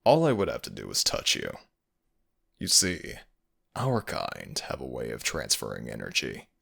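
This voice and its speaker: Man playing a low, creaky, taunting fox-spirit villain. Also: huge pitch swings, fairly bright tone, exaggerated voice. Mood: menacing. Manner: slow, theatrical, taunting menace. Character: sadistic, mocking male nogitsune fox spirit villain. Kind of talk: ASMR audio roleplay acting.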